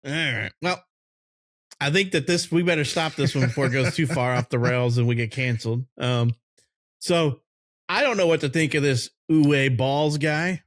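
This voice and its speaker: A man with an average-pitched voice hosts a relaxed conversation among friends. He talks informally, like a regular podcast host, and the sound is close and dry with little room. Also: moderate pace, no room sound. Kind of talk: casual chat among friends about games and movies.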